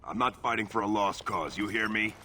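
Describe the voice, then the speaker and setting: An actor playing a gruff, cynical mercenary villager in a fantasy video game, blunt, grudging and bossy. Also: male voice, lowish voice, fairly even intonation, fairly quick, bright, forceful tone, slight background noise. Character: gruff, cynical male mercenary villager who haggles hard.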